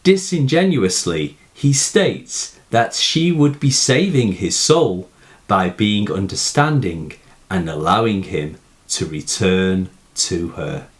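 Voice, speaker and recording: Low-pitched man, slow expressive lecture narration. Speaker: literature teacher presenting poem analysis. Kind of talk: scripted narration, educational lecture.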